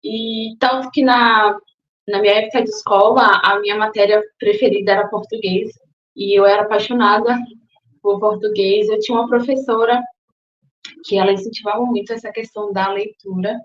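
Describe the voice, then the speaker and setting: A woman's voice: medium pitch, fairly even intonation, moderate pace. A young woman from Salvador, Bahia, answering interview questions in a thoughtful way with some hesitation. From Salvador, Bahia, Brazil. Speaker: guest, book influencer. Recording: echoey, dull lo-fi recording.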